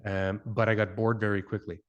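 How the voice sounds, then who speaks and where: A Canadian man in his forties, a guest on an interview, speaking in a somewhat low voice. He talks reflectively, full of ums, uhs and restarts. Italian was his first language. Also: little pitch movement, brisk, highs cut off. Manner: hesitant, many fillers and restarts, reflective. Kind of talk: conversational interview.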